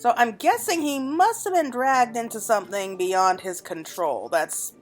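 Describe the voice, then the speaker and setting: A woman's voice: fairly high pitch, animated, measured pace. A woman giving casual let's-play commentary, chatting and musing aloud to her viewers as the host. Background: game music.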